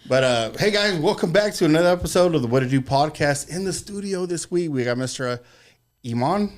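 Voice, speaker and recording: A man's voice: on the high side, lively intonation, a little fast, loud music behind the voice. Casual rambling podcast host, man. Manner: loose and rambling, repeats words. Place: podcast studio.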